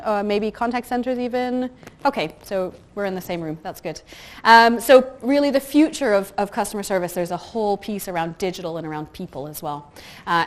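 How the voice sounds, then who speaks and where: A middle-aged woman with a soft, quiet voice giving a chatty conference talk about customer service, full of ums and light asides. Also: medium pitch. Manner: chatty, frequent ums and uhs, self-deprecating asides. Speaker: conference speaker. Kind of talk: conference talk to a live audience. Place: conference room with audience.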